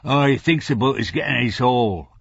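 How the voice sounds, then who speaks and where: A man's voice: mid-range voice, normal speed, gravelly, breathy edge, muffled recording, voice pushed up. A man voicing a menacing, foul-mouthed Scottish tough in an audiobook, pushy and scornful. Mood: snapping. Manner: pushy, scornful, coldly insistent.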